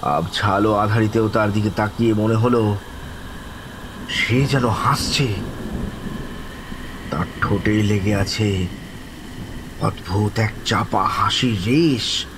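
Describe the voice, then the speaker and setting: Slow, low, haunted horror storyteller. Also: male voice, noisy background. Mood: haunted, tense. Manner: halting, dramatic, repeating words, breaking into desperate cries. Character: terrified, distraught man recounting a haunting he lived through. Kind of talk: dramatized first-person horror narration.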